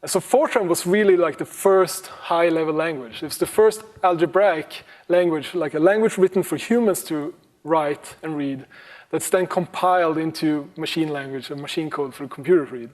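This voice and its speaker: Casual, halting man giving a tech talk. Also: on the high side. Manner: relaxed, many ums and restarts, chuckles now and then. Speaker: conference speaker. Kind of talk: casual conference talk on programming history.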